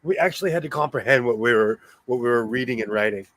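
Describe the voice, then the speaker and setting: A man's voice: mid-range voice, fast, a bit bright, dry recording. A middle-aged American man hosting a casual sewing stream, chatty and self-deprecating, laughing often. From United States. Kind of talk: casual chat. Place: home, live stream.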